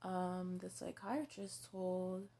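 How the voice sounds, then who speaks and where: Twenty-something woman vlogging, low voice, slow, keeps restarting, swears a lot. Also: steady tone, creaky, warm tone. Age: 20s. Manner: halting, hesitant, with many restarts and fillers; blunt and candid, swearing freely. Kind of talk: personal confessional vlog.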